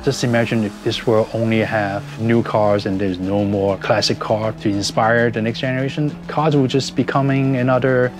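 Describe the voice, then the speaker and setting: A man's voice: mid-range voice, fairly even intonation, normal speed, few pauses. A middle-aged man from Hong Kong with a Hong Kong accent, telling his story reflectively as a car enthusiast in a documentary interview. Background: background music.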